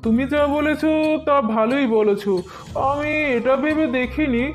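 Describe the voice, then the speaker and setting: A woman's voice: sits high, normal speed, soft background music, lightly acted. A woman voicing a mild, good-natured husband bird in a children's cartoon, with an earnest, placating manner.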